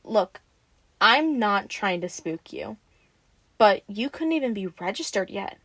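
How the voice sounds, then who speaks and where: A woman's voice: mid-range voice, animated, measured pace, fairly bright tone, no echo. A woman playing a teasing, bossy but caring vampire in an audio roleplay, talking informally and expressively to the listener. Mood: serious, warning. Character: teasing, sassy, caring female vampire taking in a newly turned one.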